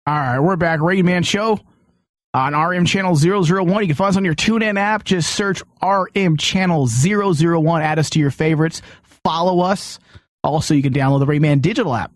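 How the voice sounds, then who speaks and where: A man's voice: fairly high pitch, a little fast, dry recording, clean studio sound. A man hosting a casual comedy talk show with teasing, irreverent banter. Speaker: show host.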